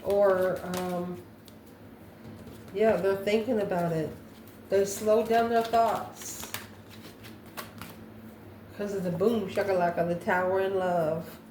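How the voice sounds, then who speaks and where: A woman's voice: mid-range voice, fairly even intonation, slow, long pauses, mellow. A woman doing an improvised tarot card reading, musing aloud and answering her own questions. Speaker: tarot reader, channel host. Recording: echoey room, faint background noise.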